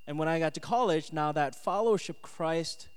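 A man's voice: a little high, normal speed, clear, resonant tone. Male preacher in an echoey hall. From South Korea, moved to the US at ten. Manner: conversational, punctuated with "right?" and "okay?". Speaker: preacher at a student retreat. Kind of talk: sermon with scripture reading and personal testimony. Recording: echoey hall with long reverb.

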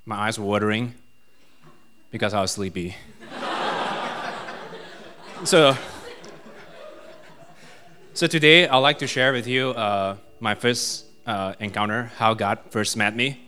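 A young man with a Malaysian accent giving a casual personal testimony at a church retreat, self-deprecating and quick to laugh, with plenty of fillers. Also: on the low side, bright, forceful tone. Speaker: guest speaker.

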